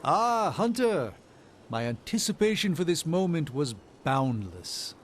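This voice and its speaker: An actor playing a courtly mystical sage in a video game, speaking in a formal, grandiloquent manner. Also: male voice, fairly high pitch, big pitch swings, a little slow, noisy background. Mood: pleased, stately.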